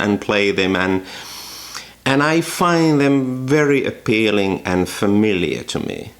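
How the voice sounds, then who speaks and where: A man from Finland with a Finnish accent, hosting a photography channel and reflecting thoughtfully to camera from a room at home, often pausing with ums and restarts. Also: lowish voice.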